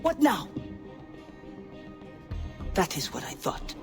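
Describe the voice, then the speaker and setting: An actor playing a proud, wary spy in an island resistance, speaking in a cool, clipped, guarded way. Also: female voice, medium pitch, wildly swooping pitch, takes it slowly, creaky, over music, exaggerated voice. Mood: bitter. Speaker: game character. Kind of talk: video game cutscene acting. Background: game score.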